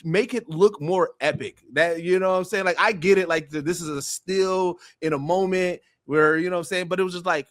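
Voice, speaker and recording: A man's voice: high voice, fairly quick, hardly any pauses, dry recording, clean studio sound. A man speaking as a podcast panelist, explaining his opinions in an emphatic, colloquial way. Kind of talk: casual pop-culture discussion.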